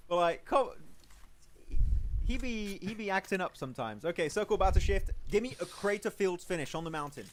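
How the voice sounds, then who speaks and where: A man hosting an esports watch party stream, reacting excitedly to the match and talking to his chat. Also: high-pitched, a little fast, a little hiss or hum. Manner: excitable, talks to chat and players on screen. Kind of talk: casual esports co-streaming commentary.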